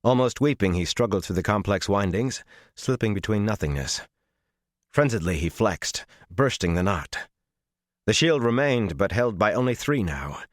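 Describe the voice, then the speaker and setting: A man reading a dramatic fantasy audiobook as its narrator, with a measured, intense and expressive delivery. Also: lowish voice, no echo, studio quality.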